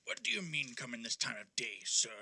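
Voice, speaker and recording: An actor playing an exuberant, grateful old reformed miser in an audio drama, theatrical and effusive with formal old-fashioned phrasing. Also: male voice, mid-range voice, big pitch swings, brisk, gravelly, bright, forceful tone, breathy edge, heavily acted. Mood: mock stern, merry. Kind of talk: audio stage drama acting.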